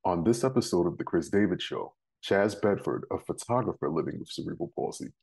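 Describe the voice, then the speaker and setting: A man's voice: low voice, lively intonation, brisk, creaky, a little mellow, breathy edge. An American man in his thirties hosting a talk show, moving between introductions and casual interview chat. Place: living room couch at home, indoors.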